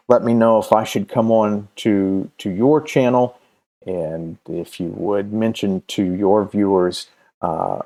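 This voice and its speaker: A man presenting casually and unscripted as a comics video host, halting and often searching for his words. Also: on the low side, mellow. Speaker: comics video host and creator promoting his own campaign.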